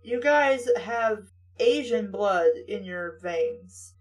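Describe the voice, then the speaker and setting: A woman's voice: medium pitch, lively intonation, drawn-out words. A woman reading a story aloud and riffing on it casually, with a blunt, sarcastic, sweary manner. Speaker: host reading a book aloud with critical commentary.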